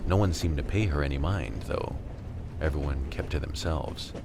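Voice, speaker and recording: A man playing a weary, laconic survivor narrating a radio drama in a gravelly voice. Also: normal speed. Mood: weary, reflective. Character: weary, guarded male narrator-survivor of a pandemic America. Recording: rumbling background noise.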